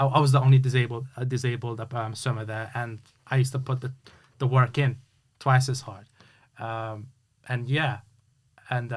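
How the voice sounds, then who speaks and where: A man talks through his story as an interview guest. His voice sits at an ordinary middle pitch. He speaks earnestly and halts often, with plenty of fillers and restarts. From Hungary. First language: Hungarian. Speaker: guest, youth sports coach. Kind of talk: casual interview chat.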